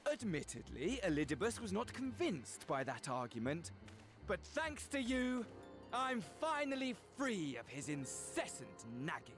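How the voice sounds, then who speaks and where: Unhinged theatrical game villain, high voice all over the place, mocking fake manners, doomsday showman, faint music behind. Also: huge pitch swings, measured pace, fairly bright tone, heavily acted. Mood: smug, gloating. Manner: theatrical, flamboyant, mock-courteous, swinging from purr to shriek. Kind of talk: video game cutscene voice acting.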